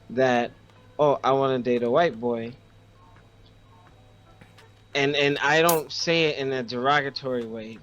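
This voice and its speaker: A man's voice: mid-range voice, muffled recording. A man co-hosting a podcast, telling personal stories casually, stopping and repeating words as he makes his point. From Haiti. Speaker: podcast co-host.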